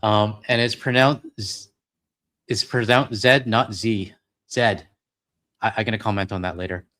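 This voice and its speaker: A middle-aged man with a Canadian accent and a somewhat low voice. He talks in a loose, rambling way, full of fillers and self-deprecating asides, as he co-hosts a live stream. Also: steady tone, measured pace, sharp tone. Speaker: co-host reading donations. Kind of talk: casual live-stream chat.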